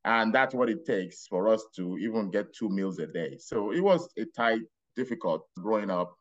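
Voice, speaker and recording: A middle-aged man from Nigeria with a Nigerian accent, telling his life story as a podcast guest in a relaxed, rambling way. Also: lowish voice, muffled recording. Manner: unhurried, rambling with restarts and laughs. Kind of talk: casual interview storytelling.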